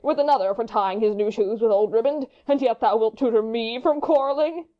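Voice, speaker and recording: A woman's voice: on the high side, brisk, dull audio, lightly acted. A woman playing a hot-headed, witty young swordsman in a Shakespeare audiobook, taunting and theatrical in long-winded speeches. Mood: teasing. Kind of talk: Shakespeare audiobook dramatic reading.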